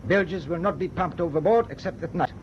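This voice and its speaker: A formal, commanding German ship's captain, played by a male actor in a radio drama. His voice sits fairly high and he speaks with measured, determined authority. The recording is dull, with faint noise. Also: lightly acted. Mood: stern, resolute. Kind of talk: British radio drama acting.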